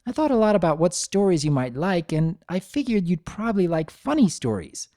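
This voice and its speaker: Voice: medium pitch, big pitch swings, brisk, mellow, no echo, studio quality, pitched above the performer's own voice, close to an everyday voice. A performer voicing a bouncy, earnest little pony girl with an eager, childlike delivery, in a dramatic fan fiction reading. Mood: excited. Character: Sunny, bouncy excitable little filly girl, earnest and playful.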